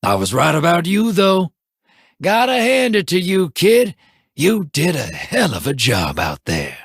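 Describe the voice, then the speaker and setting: An actor playing a wry, easygoing young human scout who talks in a casual, joking, self-mocking way, with a husky voice. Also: male voice, a little high, huge pitch swings, a little slow, fairly bright tone, no room sound, studio quality, full character voice. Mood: warm.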